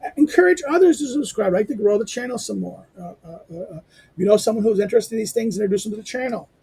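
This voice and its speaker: A hoarse man hosting a lecture channel, rambling through his outro with lots of fillers, restarts and asides. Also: animated, fast talker, dark tone. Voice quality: squeaky. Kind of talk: informal spoken outro to a reading lecture.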